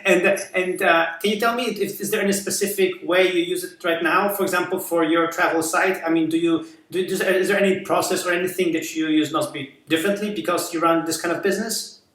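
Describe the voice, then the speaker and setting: A man with a high voice asks his questions slowly, stopping and restarting with plenty of fillers. He sits off-mic, so his voice comes through roomy and echoey. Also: fairly even intonation, fairly bright tone, far from the mic. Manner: hesitant, halting questions with many fillers and restarts. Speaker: interviewer, show host. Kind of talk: interview questions.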